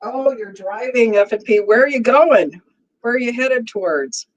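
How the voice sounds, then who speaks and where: A woman's voice: on the high side, expressive, normal speed, muffled recording. A woman in her sixties with a strong voice, hosting a casual livestream and chatting easily with her viewers, often laughing. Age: 60s. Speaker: livestream host.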